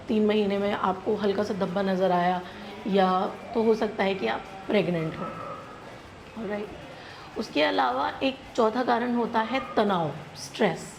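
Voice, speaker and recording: A woman's voice: mid-range voice, a little mellow, poor audio. Indian woman doctor explaining, faint background noise. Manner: instructive, direct address, English terms and tags like "alright" mixed in. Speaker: gynecologist presenting a health channel. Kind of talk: explanatory medical advice to camera.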